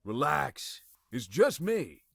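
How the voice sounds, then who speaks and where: A man playing a calm, commanding figure taking charge in a visual novel game. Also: mid-range voice, slow pace, creaky, no room sound, exaggerated voice. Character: calm, take-charge man investigating gunshots.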